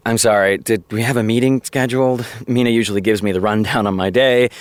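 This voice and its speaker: An actor playing a wry, guarded TV showrunner with a dry, deadpan sarcasm in a naturalistic audio drama. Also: male voice, medium pitch, fast, no room sound, lightly acted. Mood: dry, sarcastic.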